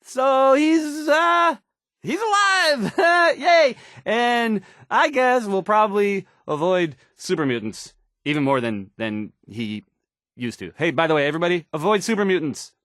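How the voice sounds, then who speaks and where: An actor playing a nervous, bumbling, insecure young radio DJ in a game, hesitant and stammering through the news. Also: male voice, high-pitched, animated, measured pace, a bit bright, no room sound, studio quality. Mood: flustered excitement. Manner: stammering, hesitant, self-doubting. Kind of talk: halting radio news announcing.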